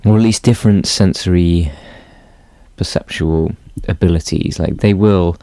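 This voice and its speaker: A man musing reflectively and hesitantly, often pausing to search for words. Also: deep voice, dark tone, no room sound. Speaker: interviewee.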